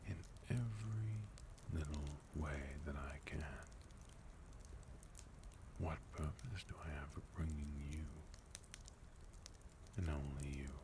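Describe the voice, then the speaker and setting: A man playing a sophisticated, courteous villain leader in a soft-spoken, gently teasing ASMR roleplay. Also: extremely low pitch, background noise. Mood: low, coaxing. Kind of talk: ASMR roleplay, intimate scripted monologue to listener.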